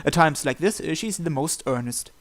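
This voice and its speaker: High-voiced man reading a visual novel aloud. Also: animated, normal speed. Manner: stumbles and breaks off to comment on the text. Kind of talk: reading story narration aloud with casual asides.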